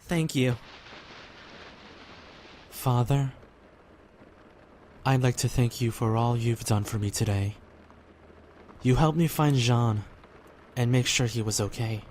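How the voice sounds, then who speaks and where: A man's voice: mid-range voice, slow, long pauses, darkish tone, close to an everyday voice. A man acting as an earnest, devout young man in a fan audio drama, speaking politely and sincerely, a little hesitant. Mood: prayerful, sincere. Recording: clearly noisy background ambience.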